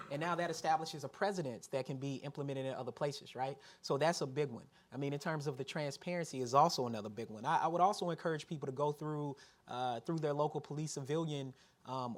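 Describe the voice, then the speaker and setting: Fast-talking man on a policy panel. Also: a little high. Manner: fast, earnest, advisory. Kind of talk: panel discussion answer.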